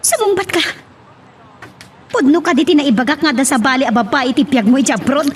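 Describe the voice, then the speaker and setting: A woman's voice: high-pitched, expressive, a little fast, a little hiss or hum. A woman playing an anguished wife and mother confronting her unfaithful husband, with an Ilocano accent, in love drama acting. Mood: tearful, accusing.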